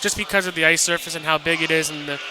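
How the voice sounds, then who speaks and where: High-voiced hockey color man. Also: fairly even intonation, fast, music in the background. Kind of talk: live sports analysis commentary. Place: ice hockey arena. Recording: noisy live arena broadcast.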